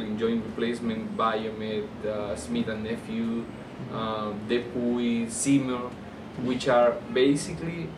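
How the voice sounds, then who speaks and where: Colombian-accented man, distant in a roomy space. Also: mid-range voice. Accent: Colombian Spanish. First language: Spanish. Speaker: orthopedic surgeon being interviewed. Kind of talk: unscripted interview answers. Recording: roomy, distant mic, air-conditioner hum.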